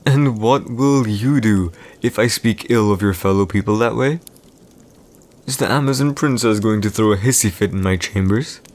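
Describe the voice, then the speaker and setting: A man playing a proud, calculating villain emperor in an audio roleplay, speaking in a measured, commanding way with deliberate pauses. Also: deep voice, fairly even intonation, darkish tone. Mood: taunting, proud. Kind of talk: scripted boyfriend audio roleplay, slow dramatic monologue.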